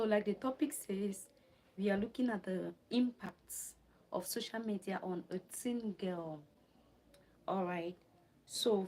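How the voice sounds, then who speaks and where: A woman's voice: medium pitch, mellow, rough recording. A woman from Cameroon hosting a livestream, reading from notes, repeating her phrases and stopping to answer viewers' questions. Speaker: livestream host. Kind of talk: live stream talk reading notes and chatting with viewers.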